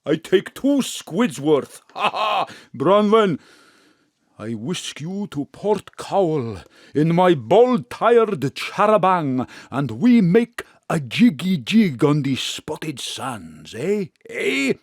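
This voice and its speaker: A man's voice: on the high side, big pitch swings, takes it slowly, dry recording, clean studio sound, exaggerated voice. A man playing a flirtatious Greek landlord and fish fryer in a comic audio drama, with a Greek accent and showy broken English. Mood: flirty.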